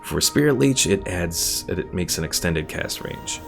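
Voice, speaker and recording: Deep, gravelly male game streamer. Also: expressive, unhurried. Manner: thinking aloud, explaining game mechanics. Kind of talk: casual live gameplay commentary. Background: game music.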